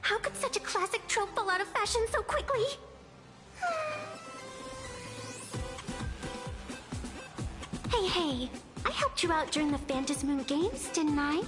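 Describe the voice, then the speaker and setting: A woman's voice: extremely high pitch, big pitch swings, moderate pace. A woman playing a mischievous, theatrical young girl trickster in an anime-style game, playful and melodramatic. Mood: whiny, melodramatic. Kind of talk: video game character dialogue. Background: game music.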